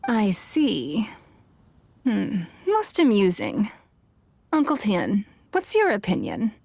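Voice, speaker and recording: A woman's voice: mid-range voice, huge pitch swings, gravelly, a little mellow, no room sound, full character voice. A woman voicing a composed, elegant lady of authority in a video game, with a poised, amused manner. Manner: poised, unhurried. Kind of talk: video game character acting.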